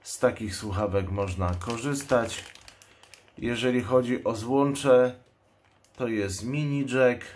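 A man giving a measured, matter-of-fact unboxing commentary as a channel host. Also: mid-range voice, darkish tone. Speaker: product reviewer and channel host. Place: indoors at a table. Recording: clear background noise, crinkling packaging. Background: noise, plastic packaging crinkles and clicks.

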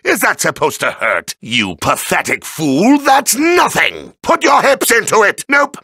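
An actor voicing a petulant, blustering evil spirit boss in a video game, with a gravelly, forceful, cartoonish voice and theatrical taunts. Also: male voice, high voice, huge pitch swings, unhurried, no echo, clean studio sound, exaggerated voice. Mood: gloating taunts. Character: bratty evil villain boss spirit with new body. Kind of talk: exaggerated video game villain voice acting.

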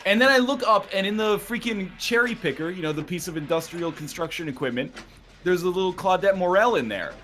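A man with a bright, sharp voice and lively swings in pitch rants casually among fellow gamers. He sounds comically put-upon as he tells his side, with some background noise. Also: brisk. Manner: comically aggrieved, ranting storyteller. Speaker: gamer on a group voice chat. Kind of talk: casual banter while playing a video game.

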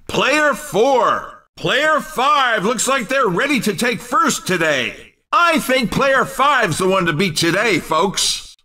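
An actor playing an excited, jokey racing commentator in a video game, with punchy, showy delivery. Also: male voice, extremely high pitch, distant mic, heavily acted. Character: hyped, jokey male arcade racing commentator. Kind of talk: excited sports commentary voice lines.

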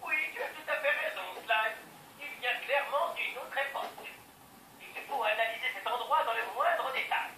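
A man's voice: extremely high pitch, moderate pace, sharp tone, full character voice. An actor voicing a brainy young male turtle tech expert, earnest and precise in his instructions, in a game dub. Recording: roomy, distant, noisy TV sound.

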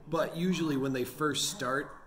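A man's voice: on the high side, steady tone, moderate pace, a crowd in the background. A man in his forties chatting casually in a vlog about his day at home. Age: 40s. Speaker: vlogger running an online resale business. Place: home office with bookshelves.

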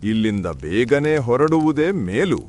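A man's voice: medium pitch, very animated, unhurried, darkish tone. A man playing a cautious, wise wandering monk with a grave manner in a cartoon folk tale. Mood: wary, grave.

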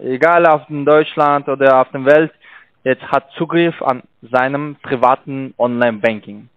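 A man with a foreign accent in German, a call-centre agent arguing on the phone in a halting, insistent way. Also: mid-range voice, no echo, lo-fi sound. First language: not German. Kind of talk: unscripted phone sales argument. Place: on a telephone line.

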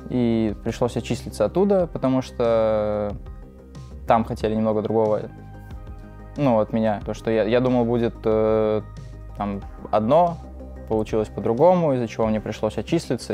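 A man's voice: fairly low pitch, normal speed, mellow, soft background music. A young man from Russia, an esports player, rambling hesitantly about his studies while seated on a couch. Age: young adult. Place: living room on a couch. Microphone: lapel mic.

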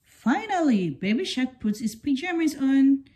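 A woman reading a children's picture book aloud in a deliberate, simple storytelling way for young children. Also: sits high, very animated, dark tone, slight background noise. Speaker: storybook reader.

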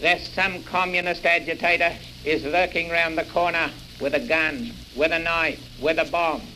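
A man speaks as an emphatic orator. His voice is high and very sharp, with faint noise on the recording. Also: fairly even intonation, normal speed, poor audio. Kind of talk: impassioned rhetorical public speech.

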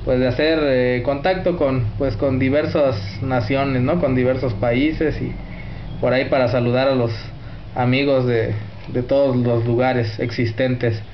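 A man's voice: medium pitch, steady tone, a little slow. A Mexican man chatting as an amateur radio operator, courteous, using radio codes and formal sign-offs. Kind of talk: radio contact chat, reporting signal and equipment. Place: indoors at a radio set. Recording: roomy, dull, faint noise.

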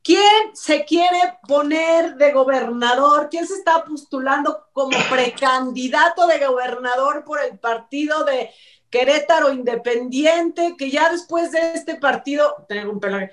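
A woman's voice: high-pitched, unhurried. A middle-aged Mexican woman co-hosting an entertainment show in casual panel chat. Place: indoor room with wooden blinds. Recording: roomy, echoey video call.

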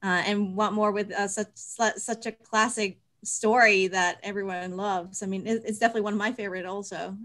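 A woman's voice: mid-range voice, steady tone, a little fast, few pauses, a bit bright. A woman in her twenties talking casually and personally on a video call, earnest and heartfelt. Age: 20s. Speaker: amateur stage actor. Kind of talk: personal, casual panel talk. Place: indoor room on a video call.

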